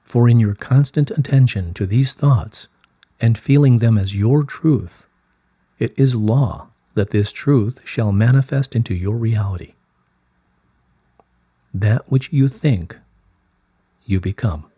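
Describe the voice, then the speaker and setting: A man giving a slow scripted reading of spiritual guidance in a solemn, measured, soothing way, with a soft, breathy voice. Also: mid-range voice, dry recording, clean studio sound. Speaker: reader of channelled spiritual messages.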